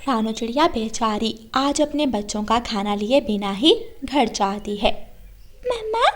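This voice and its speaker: A woman's voice: a little high, unhurried. A woman reading scripted narration for a children's moral story.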